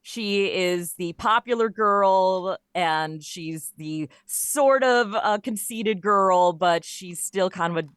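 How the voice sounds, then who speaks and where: Woman guest on an interview, casual animated storyteller, does little quoted bits, really dry sound. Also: mid-range voice, takes it slowly, few pauses, smooth, no echo. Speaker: guest, writer of a comedy audio show.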